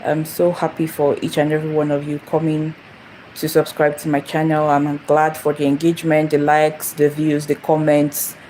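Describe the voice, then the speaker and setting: A woman's voice: deep voice, completely flat delivery, moderate pace, dark tone, a little hiss or hum, rough recording. A young woman from Nigeria hosting a casual phone livestream, rambling and repeating herself as she greets viewers. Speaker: channel host. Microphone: phone camera.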